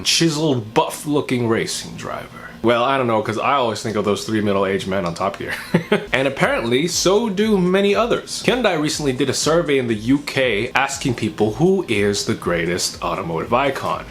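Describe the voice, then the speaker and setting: Young American male host, casual and upbeat, quick jokes between news items. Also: medium pitch, fairly bright tone. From United States. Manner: upbeat, jokey asides. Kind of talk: casual weekly car news roundup.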